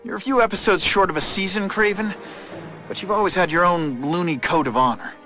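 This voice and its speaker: Man playing a high-voiced, sarcastic young superhero. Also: brisk, over music, natural voice. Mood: sardonic, firm. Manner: sarcastic, quippy. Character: wisecracking, indignant young male superhero. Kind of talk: video game voice acting.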